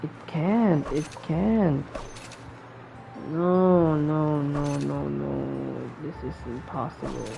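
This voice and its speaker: A woman's voice: low-pitched, fairly even intonation, extremely slow, creaky, background noise. A woman giving casual gameplay commentary, thinking aloud and often repeating words and phrases. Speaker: gaming streamer. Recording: dull lo-fi mic over game music. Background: faint game music.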